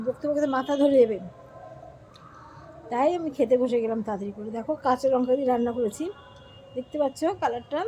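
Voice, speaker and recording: A woman's voice: high-pitched, dark tone, slight background noise, rough recording. A middle-aged woman from Bengal chatting casually to viewers while eating at home, calling them friends. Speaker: vlogger. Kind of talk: casual eating vlog chat.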